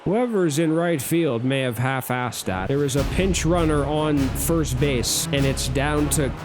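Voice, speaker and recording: A young man, hoarse from shouting, giving excited play-by-play of a baseball video game with swearing and running commentary. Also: medium pitch, measured pace. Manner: animated, swears, thinks aloud between plays. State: losing his voice. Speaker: gaming YouTuber. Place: small booth at home. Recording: game music playing behind.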